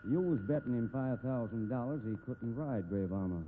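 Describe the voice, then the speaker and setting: An actor playing a shrewd, steady American western investigator in a 1950s radio drama, drawling in a dry, folksy, probing way. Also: male voice, mid-range voice, moderate pace, close to an everyday voice. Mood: probing, firm. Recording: narrow-band old radio recording, background noise.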